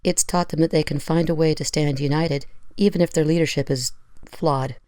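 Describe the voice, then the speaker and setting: Woman acting a low, mellow, troubled analyst. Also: steady tone, normal speed, smooth, dry recording, lightly acted. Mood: reflective. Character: troubled, earnest, reflective female analyst. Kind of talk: scripted audio drama.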